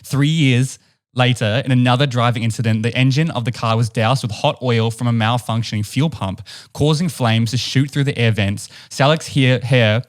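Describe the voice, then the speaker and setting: A young man with a New Zealand accent spins a story for his podcast co-host. He talks close to a boom mic in a room at home, animated and breaking into small laughs. The tone is casual and chatty. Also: normal speed. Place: home room with stone wall, indoors. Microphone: boom studio mic close up.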